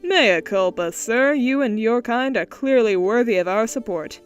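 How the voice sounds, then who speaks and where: A woman voicing a smooth-talking rich industrialist man in polished, formal, glib speech. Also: a little high, animated, measured pace, soft background music.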